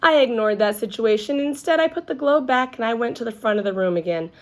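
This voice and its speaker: A woman storyteller narrating a children's book aloud in an expressive read-aloud style. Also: on the high side, quick pace.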